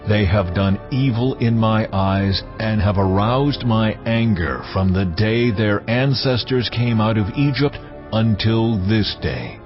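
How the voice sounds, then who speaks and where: A man voicing God in a dramatized Bible reading, slow and weighty in his pronouncements. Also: fairly low pitch, animated. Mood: solemn. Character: God speaking, male, solemn and stern. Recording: dull lo-fi audio over music.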